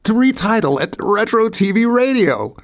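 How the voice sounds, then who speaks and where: A man's voice: sits high, very animated, moderate pace. A man hosting a podcast in casual interview chat, jokey and self-deprecating, often chuckling as he goes. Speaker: podcast host.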